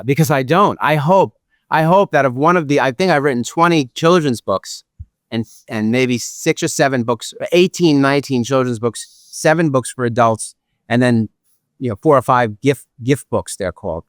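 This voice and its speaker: Nasal New York man, clear voice. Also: mid-range voice, moderate pace, dry recording, studio quality. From Brooklyn, New York. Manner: self-deprecating, repeats phrases. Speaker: podcast guest, author. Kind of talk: casual interview conversation.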